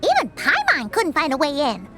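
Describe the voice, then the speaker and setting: A woman voicing a small, excitable fairy companion in a video game, childlike and perky, speaking bluntly. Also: normal speed. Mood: excited. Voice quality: squeaky, childlike. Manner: perky, blunt, refers to self by name. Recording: background music under dialogue.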